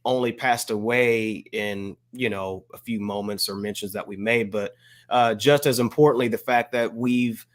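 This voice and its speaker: An American man co-hosting a podcast, giving casual shout-outs in an earnest, measured way that mixes formal phrasing with slang. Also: on the low side, steady tone. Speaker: podcast co-host.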